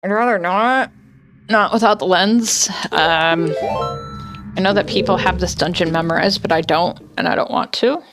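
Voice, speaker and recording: A woman's voice: on the low side, normal speed, fairly bright tone, faint music behind. A woman streaming a video game from home, chatting casually and thinking aloud with lots of fillers. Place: home, indoors.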